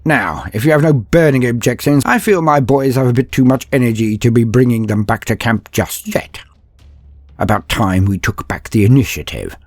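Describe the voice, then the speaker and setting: A man's voice: mid-range voice, moderate pace, dry recording, soft background music, natural voice. A man voicing a bold, wry military commissar in an audio story, with clipped delivery. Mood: confident, wry. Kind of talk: dramatic audio story character voice.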